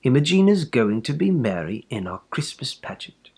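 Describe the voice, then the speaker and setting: A man voicing a brisk, exasperated mother in a storybook, speaking firmly and insistently. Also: medium pitch, sing-song, creaky, darkish tone, slightly rough, full character voice. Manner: firm, insistent. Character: exasperated, brisk, firm mother running a pageant.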